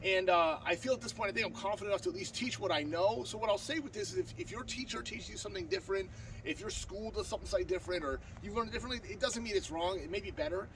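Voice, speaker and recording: A man with a stuffy, blocked-up nose talks quickly and earnestly, with lots of fillers and restarts, in a fairly high voice. He is recorded outdoors on a lawn by a camera a few steps away. Plenty of outdoor noise sits behind him. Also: a bit bright. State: stuffed up from a cold. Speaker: sword-fighting instructor. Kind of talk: casual outdoor tutorial. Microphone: far camera on a tripod. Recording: distant mic with outdoor noise.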